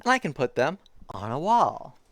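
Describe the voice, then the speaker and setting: A dopey spotted creature from a children's storybook, read aloud by a streamer, boasting in bouncy singsong rhymes. The pitch leaps up and down all over the place. The sound is dry and close. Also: very animated, normal speed, dry recording. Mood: boastful.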